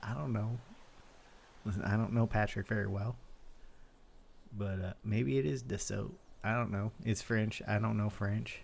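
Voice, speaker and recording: A man's voice: fairly low pitch, steady tone, measured pace, gravelly, long pauses. A man playing an awkward, easygoing guy in a roleplay game, who talks in hesitant, rambling loops and repeats himself. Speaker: roleplay character. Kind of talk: improvised roleplay chat.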